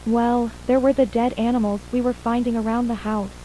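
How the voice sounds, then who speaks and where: A woman's voice: fairly high pitch, level tone, fairly quick, warm tone, lightly acted, poor audio. A woman playing a shaken mother and attack survivor, plainly recounting events in an acted horror audio drama interview. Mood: subdued, uneasy. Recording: faint hum and hiss.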